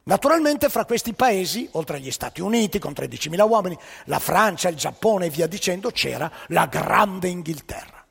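A man's voice: high-pitched, animated, moderate pace, creaky, a bit bright, husky, in a large hall. A man telling a story on stage, emphatic and ironic, with pointed rhetorical questions. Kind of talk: theatrical storytelling monologue.

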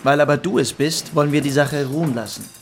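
Radio play: male actor as hot-tempered teenage bully, lightish higher register, curt orders, slight background ambience. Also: fast, a little hiss or hum, lightly acted. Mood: stern, threatening. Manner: curt, commanding. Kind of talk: German radio play acting.

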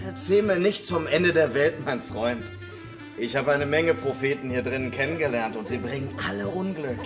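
An actor playing a flamboyant, roguish pimp and street performer in a German radio drama, grand, theatrical and amused. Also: male voice, medium pitch, animated, moderate pace, close to an everyday voice. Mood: sceptical. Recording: music under the voice. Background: music.

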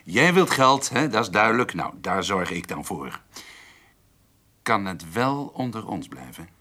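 A man's voice: on the low side, big pitch swings, unhurried, bright, forceful tone, exaggerated voice. An actor playing a shifty, evasive male notary in a radio play, hesitant and insinuating as he guards his reputation. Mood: guarded, evasive. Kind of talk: radio drama dialogue.

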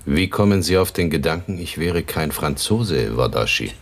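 An actor playing an icily courteous, menacing Frenchman in a radio drama, speaking slowly and with silky politeness. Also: extremely low pitch, animated. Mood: offended, cool.

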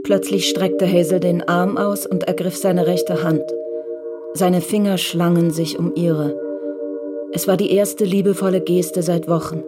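A woman's voice: fairly low pitch, fairly even intonation, a little slow, lightly acted. A woman giving scripted literary narration in a radio crime drama. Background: music.

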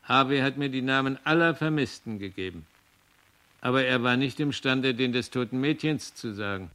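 Deliberate mid-pitched male investigator, acted. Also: pushed and bright, lightly acted. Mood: pensive, factual. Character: reflective male investigator reporting on a case. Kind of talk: measured radio drama testimony.

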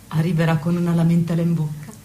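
A woman's voice: fairly low pitch, warm tone, a little hiss or hum, close to an everyday voice. A woman playing a worldly, sardonic married attendant to a noblewoman in a radio drama. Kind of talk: stage-style radio drama acting.

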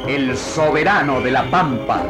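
A man's voice: medium pitch, lively intonation, measured pace. A man narrating an old radio serial in a solemn, declamatory way. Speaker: announcer and narrator. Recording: old radio archive with loud music.

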